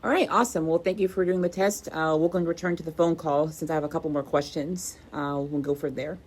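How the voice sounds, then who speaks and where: A woman's voice: low voice, fairly even intonation, quick pace, darkish tone, slight background noise. A woman hosting a casual product review in a conversational way, often repeating phrases for emphasis. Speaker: video host and product reviewer.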